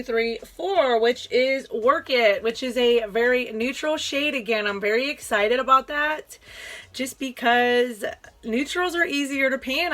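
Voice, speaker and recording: A young woman talks through her makeup project in a friendly, chatty way. Her voice sits a little high and bright, but it comes out raspy and stuffy, as if she is still getting over a sore throat. She laughs easily and apologizes now and then. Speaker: beauty vlogger. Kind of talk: casual makeup chat to camera.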